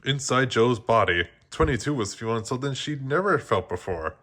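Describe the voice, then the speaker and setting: A man's voice: mid-range voice, animated, moderate pace, a bit bright. A man reading a children's storybook aloud as narrator, often stumbling and restarting his phrases. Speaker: storybook reader.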